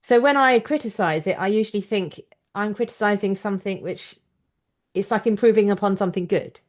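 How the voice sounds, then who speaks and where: A woman's voice: mid-range voice, brisk. A woman hosting a conversational podcast interview, putting thoughtful, loosely phrased points and questions to her guest.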